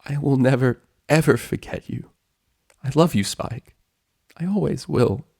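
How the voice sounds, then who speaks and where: Man voicing Twilight, an anguished unicorn mare, pitched up. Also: animated, a little slow, vocal fry, darkish tone, breathy edge, heavily acted. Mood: tender grief. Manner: emotional, strained, earnest. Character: Twilight, grieving female unicorn, devoted, anguished, firm.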